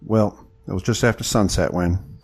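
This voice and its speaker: An actor playing a plainspoken, loyal adventurer in a first-person audio drama, with some ambience behind him. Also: male voice, deep voice, steady tone, moderate pace, slightly rough. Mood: reflective. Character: plainspoken, loyal male adventurer recounting his tale.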